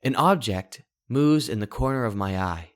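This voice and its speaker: A man reading a scripted first-person short story in a deliberate way, with dramatic pauses between short phrases. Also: mid-range voice, lively intonation. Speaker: author reading his own short story.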